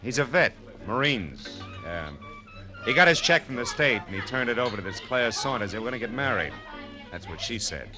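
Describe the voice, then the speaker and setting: An actor playing a tough, world-weary, wisecracking private detective in a 1940s radio crime drama. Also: male voice, mid-range voice, expressive, moderate pace, close to an everyday voice. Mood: casual, sly. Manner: wisecracking, hard-boiled slangy talk. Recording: old radio broadcast with music behind.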